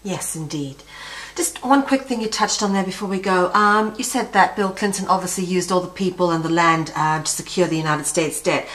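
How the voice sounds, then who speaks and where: A woman from South Africa with a South African accent, conducting a conversational interview in an effusive, slightly halting way. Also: lowish voice, a bit bright.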